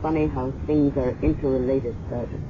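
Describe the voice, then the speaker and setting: An actor playing a measured, musing hospital doctor in a 1970s radio drama. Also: male voice, mid-range voice, steady tone, lightly acted. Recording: muffled, noisy 1970s radio recording.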